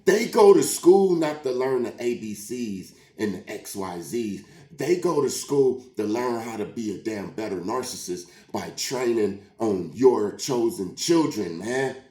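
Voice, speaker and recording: A man's voice: lowish voice, expressive, a little slow, few pauses, warm tone. Man with a sore throat ranting and preaching, keeps repeating himself, echoey room. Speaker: parent giving a monologue. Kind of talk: casual rant to camera.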